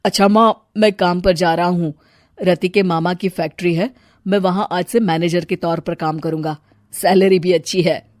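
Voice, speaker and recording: A performer playing a dutiful adult son in a dramatized moral story, speaking in a low-key, plain way. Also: fairly even intonation, moderate pace, no echo, studio quality, close to an everyday voice. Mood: matter-of-fact. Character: adult son, soft-spoken, dutiful, heading to work.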